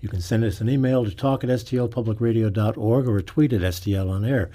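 A man hosting a live radio panel interview, speaking in a halting way with repeated words. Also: on the low side, dark tone. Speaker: radio talk show host. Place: radio studio.

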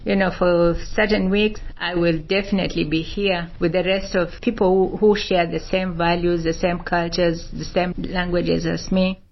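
Woman interviewee from Kenya, muffled audio. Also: on the low side. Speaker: community organization president. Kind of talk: interview clip.

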